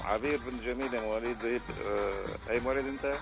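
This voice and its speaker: A man's voice: mid-range voice, fast talker, creaky. A man with a Lebanese accent calling a radio show for a casual chat.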